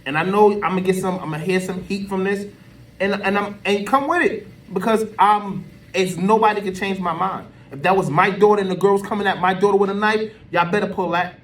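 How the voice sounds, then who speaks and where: High-voiced American man, emphatic and distant. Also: fairly even intonation, a little fast, a bit bright. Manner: emphatic, repetitive, colloquial. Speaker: social media commentator. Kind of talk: unscripted opinion rant to camera. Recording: voice distant from the mic.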